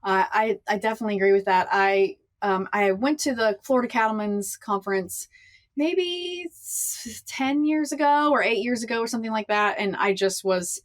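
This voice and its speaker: A woman's voice: medium pitch, normal speed. A woman hosting an interview podcast, welcoming her guest in a friendly, chatty way with a few restarts. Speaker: podcast host. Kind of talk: casual interview chat.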